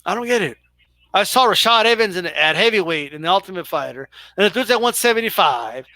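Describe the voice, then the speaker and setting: A man with a high, bright voice riffs loosely to his live chat about the fights, swearing freely and teasing the viewers. The sound is dry and close, with no room around him. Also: animated, a little fast, no room sound. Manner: profane, chatty, banters with viewers. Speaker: boxing live-stream host. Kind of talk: casual live commentary to chat.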